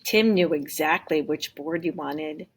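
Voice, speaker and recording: Low, husky-voiced woman reading a storybook aloud. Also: a little slow. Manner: expressive, acts out dialogue. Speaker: teacher reading aloud to pupils. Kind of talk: storybook read-aloud with explanatory asides.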